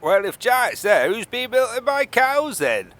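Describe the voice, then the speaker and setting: A man's voice: very high voice, big pitch swings, unhurried, a bit bright, exaggerated voice. A man playing a folksy, grumbling married farmer in a parody of a radio soap. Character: grumbling old-fashioned married male farmer.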